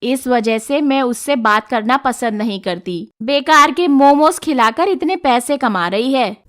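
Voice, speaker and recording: Cartoon story role: scheming shopkeeper mum, voiced by a woman, greedy and disapproving, high-pitched, very unhurried speech. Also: lively intonation, a bit bright. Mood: resentful. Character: jealous, greedy middle-aged shopkeeper mother. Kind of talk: Hindi animated moral story dialogue.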